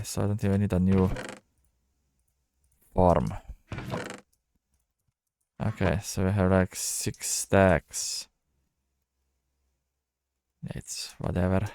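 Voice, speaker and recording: Man, very low gravelly voice, slow unhurried pace, casual gaming commentary, halting and low-key. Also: darkish tone, dry recording, studio quality. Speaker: gamer narrating own playthrough. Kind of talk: casual thinking-out-loud game commentary.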